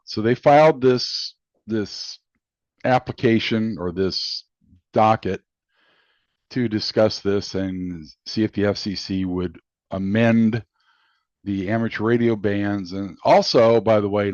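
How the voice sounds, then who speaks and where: Low-voiced man in a dull lo-fi recording. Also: darkish tone, no room sound. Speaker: video host and amateur radio hobbyist. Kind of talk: casual unscripted explanatory commentary. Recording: dull lo-fi sound.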